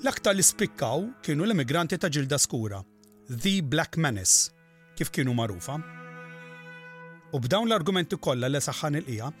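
A man narrating a documentary in a steady, informative scripted read. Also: mid-range voice.

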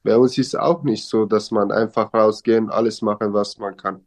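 A man's voice: on the low side, fairly even intonation, moderate pace, darkish tone. A young man from Syria with a Syrian Arabic accent, speaking German as a learned language in a casual interview, with grammar slips and hesitations. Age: young adult.

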